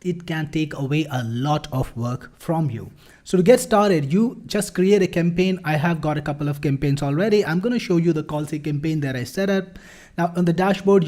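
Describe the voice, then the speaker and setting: A man presenting a promotional software walkthrough in an explanatory, salesy manner. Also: fairly high pitch. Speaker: product demonstrator.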